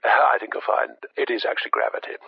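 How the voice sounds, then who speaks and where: An actor playing a pedantic, halting English male science know-all calling a spoof phone-in on a dull line. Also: no echo, natural voice.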